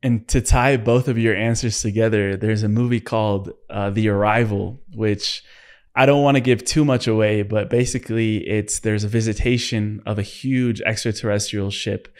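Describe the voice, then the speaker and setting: Casting: young male podcast host, fairly low voice, relaxed and curious. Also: normal speed. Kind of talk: casual interview talk.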